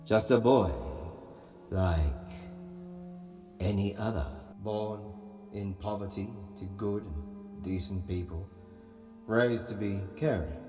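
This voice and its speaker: A man playing a calm, grave Vulcan alien mind-reader in an audio comic, speaking in a measured, solemn, hushed way. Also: fairly low pitch, distant mic, over music. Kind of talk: dramatic audio comic storytelling.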